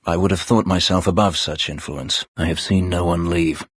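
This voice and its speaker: An actor playing a proud, bitter former slave warrior in a video game, speaking tersely and formally in a husky, gravelly voice. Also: male voice, deep voice, steady tone, normal speed, no echo, studio quality. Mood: guarded, brooding. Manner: terse, formal, brooding delivery. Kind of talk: video game character dialogue.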